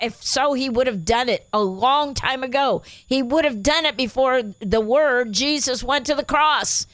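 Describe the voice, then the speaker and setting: A woman's voice: on the high side, a bit bright, clean tone, dry recording. Enthusiastic woman with a slight Spanish accent. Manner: enthusiastic, reads scripture aloud with emphasis. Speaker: radio show co-host.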